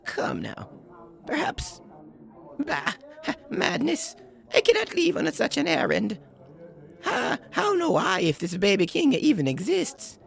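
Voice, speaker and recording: A fussy old peasant woman in a radio play, her voice creaky and gravelly. She talks slowly and swoops wildly up and down in pitch, over soft music. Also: wildly swooping pitch, heavily acted. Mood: dismissive. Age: older. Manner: exclaims "Bah!", swoops in pitch. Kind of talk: audio drama acting.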